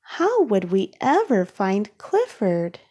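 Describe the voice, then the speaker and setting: A woman reading a children's storybook aloud in a careful, expressive way for young listeners. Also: mid-range voice, slow pace, husky. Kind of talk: read-aloud storybook narration.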